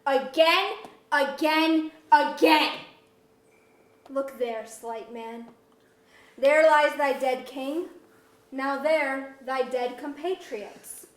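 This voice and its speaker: Woman playing an imperious high-voiced conquering sorceress. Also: very slow, pauses often. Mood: imperious, cruel. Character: imperious, vengeful conquering sorceress claiming the throne. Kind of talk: staged reading of archaic verse drama. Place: museum auditorium, staged reading. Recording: echoey auditorium, distant mic.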